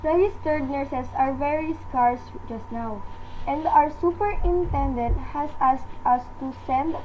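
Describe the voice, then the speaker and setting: Dull lo-fi sound, actress as polite telephone operator, high voice, formal, patient, officious, radio drama. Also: female voice, measured pace, mellow. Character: polite, unhurried hospital receptionist and switchboard operator. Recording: dull, slightly noisy recording.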